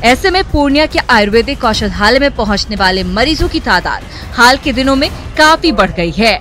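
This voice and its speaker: A woman's voice: a little high, expressive, a little fast, a bit bright. A woman narrating scripted news reports as a broadcast voice-over. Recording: news music behind. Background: background music.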